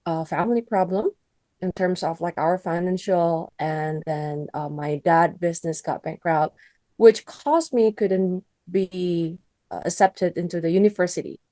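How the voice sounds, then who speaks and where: Mellow-voiced Indonesian woman. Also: lowish voice, fairly even intonation, dry recording. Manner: earnest, halting, with many restarts. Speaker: interview guest, country director. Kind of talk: personal testimony in an interview.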